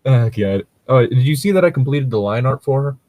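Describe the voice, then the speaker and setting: A man's voice: medium pitch, brisk, no room sound. A man chatting casually and jokily about tabletop roleplaying, with some offhand swearing. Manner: animated, jokey, swears casually. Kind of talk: casual chat.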